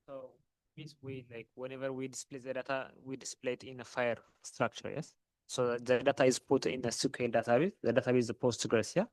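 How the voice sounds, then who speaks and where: Ethiopian accented guy asking a conference question, halting, flat pitch, dry sound. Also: no room sound, low-quality recording. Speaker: audience questioner, interoperability lead.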